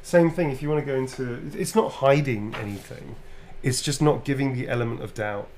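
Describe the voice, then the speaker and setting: A man's voice: medium pitch, fairly quick, darkish tone, a little hiss or hum. A British man, a voice actor, answering fan questions in a loose, chatty way with plenty of ums and restarts. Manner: chatty, loose and informal, full of ums and self-corrections. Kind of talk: casual live Q&A.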